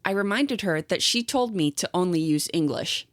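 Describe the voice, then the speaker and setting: Lively low-voiced woman co-host. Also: normal speed, no room sound, clean studio sound. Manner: chatty, agreeable, reads stories in a steady storytelling voice. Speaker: podcast co-host. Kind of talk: casual chat, reading online posts aloud.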